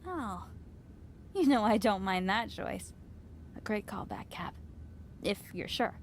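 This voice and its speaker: An actress playing a teasing, caring wrestling promoter in a video game cutscene. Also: female voice, medium pitch, lively intonation, slow, a little hiss or hum. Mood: tender, wistful. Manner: teasing, sighs and chuckles.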